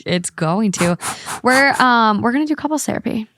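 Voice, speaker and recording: A woman's voice: a little high, expressive, normal speed, a bit bright, slight background noise. A woman hosting a podcast, chatting casually and reading stories aloud. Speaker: podcast host. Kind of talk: casual co-host chat and reading a story aloud.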